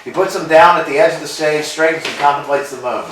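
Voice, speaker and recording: Guy reading the stage directions, flat and matter-of-fact, lots of echo, far off the mic. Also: a little high, quick pace, noisy background. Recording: echoey room, far from mic.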